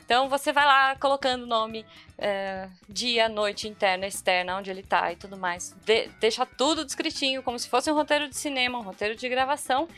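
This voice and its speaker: A woman's voice: a little high, brisk, a bit bright. A woman with a Brazilian Portuguese accent hosting a podcast, explaining things casually and speaking informally straight to the listeners. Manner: chatty, informal, addresses listeners directly. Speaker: podcast host. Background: background music.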